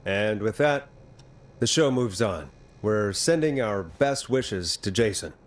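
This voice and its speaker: A man voicing a calm, reassuring radio host in a video game, steady and earnest. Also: on the low side, takes it slowly. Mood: composed.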